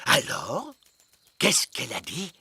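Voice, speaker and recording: A man's voice: medium pitch, huge pitch swings, slow, bright, forceful tone, husky, no room sound, exaggerated voice. A man voicing a regal, bossy fairy king with a pompous manner in a children's cartoon. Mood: questioning. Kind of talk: children's cartoon dialogue, French dub.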